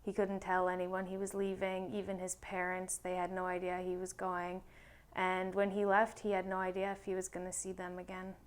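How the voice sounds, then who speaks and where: A woman's voice: on the low side, monotone, normal speed, smooth. A young woman speaking steadily and matter-of-factly in a personal interview. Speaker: interviewee, daughter.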